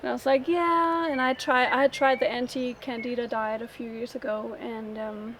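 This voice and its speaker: Halting woman from Denmark, outdoors. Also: fairly high pitch, fairly even intonation, fairly bright tone, slight background noise, rough recording. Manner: halting, thinks aloud. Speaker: interviewee. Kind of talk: casual personal story.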